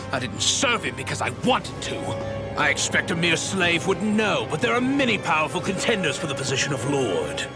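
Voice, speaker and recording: Haughty, creaky-voiced treacherous nobleman. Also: sits high. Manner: haughty, sneering, theatrical. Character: treacherous, contemptuous noble male advisor sneering at slaves. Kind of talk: dramatic video game acting.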